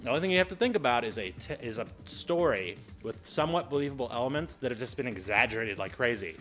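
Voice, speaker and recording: A man hosting an explainer video in a casual, chatty style, animated and exclamatory, with playful exaggerated asides. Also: mid-range voice, fast, faint music behind. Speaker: video host giving public speaking tips.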